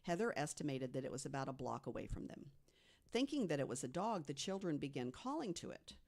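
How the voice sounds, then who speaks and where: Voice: medium pitch, sing-song, brisk, gravelly, darkish tone. A podcast panelist reading prepared mystery stories aloud, with conversational asides.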